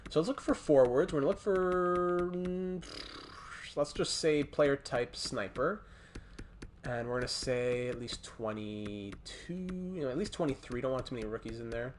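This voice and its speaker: Male game streamer, mid-range voice, Montreal accent, casual and halting, thinks out loud between replies to chat. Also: mid-range voice, a little hiss or hum. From Montreal, Canada. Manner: thinking aloud, halting, breaking off to reply to chat. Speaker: livestreamer hosting a hockey video game stream.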